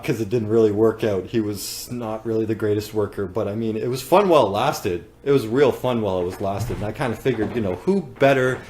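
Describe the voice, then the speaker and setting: A man's voice: fairly low pitch, a little mellow. Joking Canadian man on a livestream. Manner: joking, rambling storyteller. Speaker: business owner hosting a live giveaway. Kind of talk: casual livestream chat.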